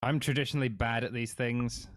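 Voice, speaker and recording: Man, average pitch, teasing, informal group chat, quiz panelist. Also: fairly even intonation, fairly quick. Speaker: panelist in a podcast quiz game. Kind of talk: casual group banter.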